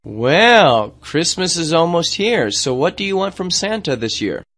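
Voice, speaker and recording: A man chatting in a gentle, encouraging way with children, asking simple questions. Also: a little high, sing-song, a little slow. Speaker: adult interviewing children.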